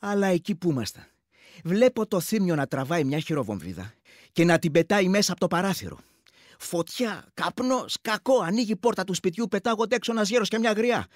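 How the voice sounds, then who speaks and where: An earthy war veteran from a village, with a rural Greek accent and a slightly high voice. He recounts combat matter-of-factly, in a chatty radio-drama monologue, and slips into other men's speech. The sound is very dry. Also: very animated, dry recording. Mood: grim recounting. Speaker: actor. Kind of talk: radio play monologue, colloquial storytelling.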